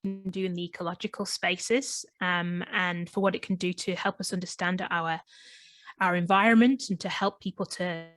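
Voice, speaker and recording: A woman's voice: on the low side, steady tone, normal speed, a bit bright, dry recording. A woman from Northwest England, her accent touched by an Australian twang, giving a friendly online talk with many ums and restarts. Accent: Northwest English, between Manchester and Liverpool, slight Australian twang. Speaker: chemist and guest presenter. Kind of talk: online presentation. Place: home in lockdown, video call.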